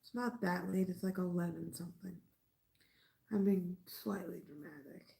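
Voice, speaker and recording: A woman's voice: lowish voice, normal speed, vocal fry, mellow. A woman hosting a crochet livestream in casual late-night chat, chatty and playful, with a slightly stuffy nose. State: stuffy nose from a mild cold. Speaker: crochet channel host.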